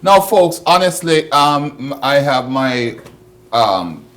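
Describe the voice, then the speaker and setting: A Jamaican man hosting a casual chat, joking and laughing, with sudden loud bursts. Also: mid-range voice, lively intonation, takes it slowly. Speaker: host.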